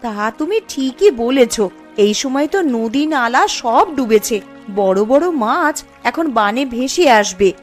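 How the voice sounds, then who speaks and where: A woman's voice: high voice, expressive, unhurried, faint music behind. A woman voicing a caring, fretful younger village woman in a cartoon story, with an entreating manner. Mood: agreeable.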